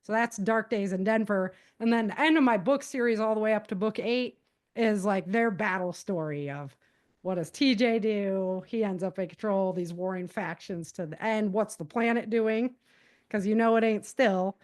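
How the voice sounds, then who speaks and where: A woman's voice: mid-range voice, moderate pace. American woman, casual storytelling author. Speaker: author and podcast guest. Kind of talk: casual spoken summary of own novels.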